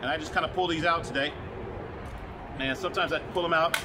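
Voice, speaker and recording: Loud rambling man on a phone recording. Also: a little high, steady tone, fast. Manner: loud, rambling, self-correcting. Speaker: vlogger and camera collector. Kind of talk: casual on-camera show-and-tell. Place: his broadcaster museum room. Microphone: phone camera, no microphone. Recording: phone recording with clear background noise.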